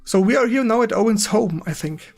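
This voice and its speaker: High-voiced German man, creaky and halting. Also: lively intonation, darkish tone, faint music behind. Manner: halting, repeats words, effusive. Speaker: host of a retro gaming stream. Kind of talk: casual live stream interview.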